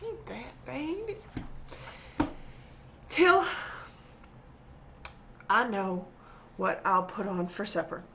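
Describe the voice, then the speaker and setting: An actress playing a firm but troubled country wife in a spooky radio drama, speaking in plain rural dialect. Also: female voice, mid-range voice, sing-song, drawn-out words, vocal fry, long pauses, background noise, full character voice. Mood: flustered. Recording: dull, muffled lo-fi recording.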